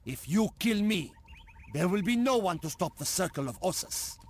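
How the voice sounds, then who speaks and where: An actor playing a defiant Turkish prisoner in a game cutscene, urgent and accusing. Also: male voice, sits high, expressive, moderate pace, slight background noise. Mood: urgent warning. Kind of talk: video game cutscene acting.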